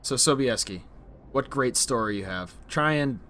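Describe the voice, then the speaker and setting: A man's voice: medium pitch, expressive, moderate pace, a bit bright. A man hosting a casual group chat where gamers share stories. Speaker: host leading a gaming story session.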